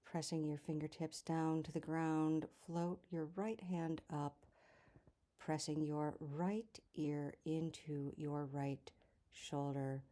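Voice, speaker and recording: Female yoga teacher, low pitch, mellow tone, calm guided instruction, soft trailing endings.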